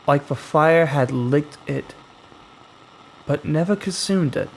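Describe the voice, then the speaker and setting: Male horror narrator, scripted and dramatic, extremely slow with suspenseful gaps, faint noise behind the voice. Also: on the high side, creaky, a little mellow, poor audio. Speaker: horror storyteller.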